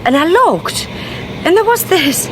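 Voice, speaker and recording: Witness role, woman, working-class single mum, blunt and wry, lively voice, street noise around her. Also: very high voice, a little slow, heavily acted. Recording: radio drama with street noise. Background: street ambience, passing cars.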